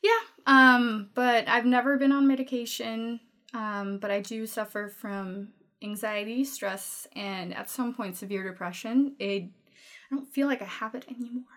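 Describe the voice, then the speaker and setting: A woman's voice: a little high, steady tone, unhurried, fairly bright tone. A woman in her twenties from Denmark, speaking English with a Danish accent as a podcast guest, talking openly with frequent laughs. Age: 20s. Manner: open, frank, laughs often between thoughts. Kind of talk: casual personal conversation.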